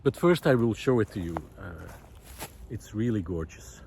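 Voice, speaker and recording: A man talking unscripted and reflectively to camera outdoors in a wooded park, pausing often mid-sentence between short phrases. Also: lowish voice, expressive, a little mellow. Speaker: vlogger. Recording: clear outdoor background noise.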